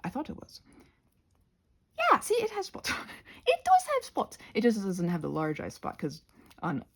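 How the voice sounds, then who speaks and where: Woman playing a gravelly, rambling crafty goblin. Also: medium pitch, huge pitch swings, pitched above the performer's own voice, heavily acted. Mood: excitable. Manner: rambling, halting, goes off on tangents.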